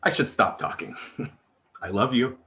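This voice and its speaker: A man's voice: medium pitch, lively intonation, unhurried, sharp tone. A man acting a flustered, awkward middle-aged office-working husband and dad in a comedy script read, hesitant and sheepish.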